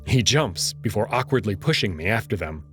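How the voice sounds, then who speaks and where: A man's voice: on the low side, expressive, moderate pace. A man reading dramatic first-person narration from a visual novel. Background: music.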